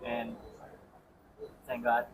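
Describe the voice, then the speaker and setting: A man's voice: medium pitch, monotone, drawn-out words. A young man from Indonesia, a college basketball player, talking in a laid-back, slightly unsure way. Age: young adult. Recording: distant mic with noise.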